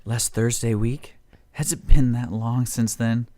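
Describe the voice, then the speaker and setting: A man playing an ardent, insistent 1940s lover in an amateur radio play reading, slow and pleading. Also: mid-range voice, steady tone, mellow, no echo, close to an everyday voice. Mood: earnest, pressing.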